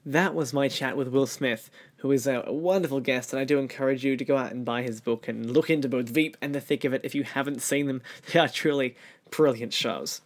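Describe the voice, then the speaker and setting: A man's voice: medium pitch, fairly quick. An Australian man hosting a podcast in a polite, casual conversational way. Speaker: podcast host. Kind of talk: casual interview and podcast sign-off.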